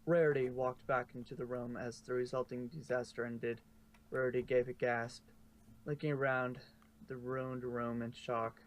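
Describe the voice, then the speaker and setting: A man's voice: mid-range voice, flat delivery, moderate pace. A man reading a story aloud in an informal way, stumbling and correcting himself now and then. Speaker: fanfiction narrator. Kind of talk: storybook narration read aloud. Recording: noisy home recording.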